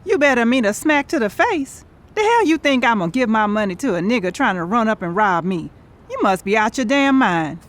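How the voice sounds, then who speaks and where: Actress as a sassy, sharp-tongued woman, very expressive. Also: medium pitch, normal speed, natural voice. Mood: indignant. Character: sharp-tongued, indignant, sardonic woman, singer Lorraine. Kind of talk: naturalistic audio drama acting.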